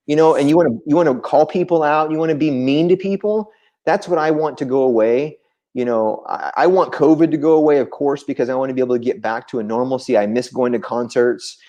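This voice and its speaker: A man's voice: mid-range voice, mellow. A man from California with a Southern California accent, a guest on a live stream, laid-back and blunt, saying dude a lot. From California, USA. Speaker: interview guest, brand ambassador. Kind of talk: casual live-stream chat.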